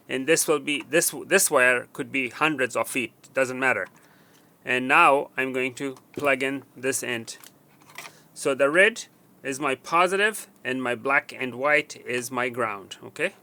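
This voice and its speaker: A man giving a hands-on wiring walkthrough, halting with fillers and restarts, counting pins aloud as he points. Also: medium pitch, pushed and bright. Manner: halting, with frequent fillers and restarts while working. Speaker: technician demonstrating wiring.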